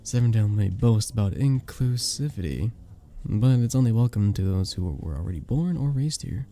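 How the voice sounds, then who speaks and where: A very dark, mellow voice with a gravelly creak and lively rises and dips. It is a charming, sweet vampire bartender, acted out by a man, soft-spoken and courteous in the formal way of an audio roleplay. He sounds modest and gentle throughout. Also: fairly low pitch, normal speed. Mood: wistful, hesitant. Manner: gentle, polite, slightly formal, bashful chuckles.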